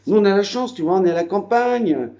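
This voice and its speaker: A man's voice: high-pitched, animated, brisk, warm tone, dull audio. Rambling French man on a phone video. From France. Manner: rambling, chatty, full of fillers. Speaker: vlogger. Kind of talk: casual home video chat. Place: house in the countryside.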